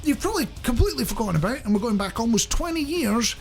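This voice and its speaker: A man's voice: extremely high pitch, big pitch swings, quick pace, faint music behind. A man presenting a radio show in a chatty, rambling, jokey way, speaking directly to the listener.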